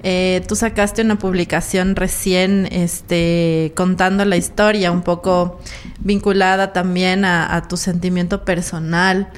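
A woman's voice: on the low side, flat delivery, a little slow, clean tone. A woman interviewing a guest in a casual, conversational way. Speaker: interviewer, host.

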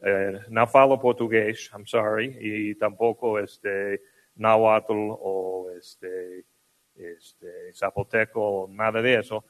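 A man, native English speaker, giving a lecture in Spanish with an English accent, relaxed and self-deprecating. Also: on the low side, slow pace. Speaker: guest lecturer. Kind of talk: academic lecture.

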